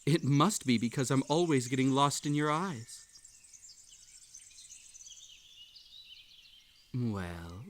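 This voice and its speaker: Slow-talking, unhinged bunny host played by a man. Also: mid-range voice, a little hiss or hum, close to an everyday voice. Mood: needy, coaxing. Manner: coaxing, falsely polite, theatrically unstable. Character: unhinged, possessive Ether Bunny playing a sinister tea-party host. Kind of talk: scripted roleplay audio drama addressed to the listener.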